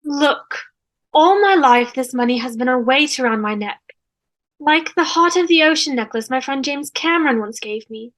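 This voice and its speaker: A woman performs a wealthy, haughty socialite from a TV comedy script. The voice is high and dramatic, pressing each point insistently. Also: lively intonation, moderate pace, fairly bright tone. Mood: insistent, grand. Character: haughty, name-dropping rich socialite woman. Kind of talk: fan table read of a TV comedy.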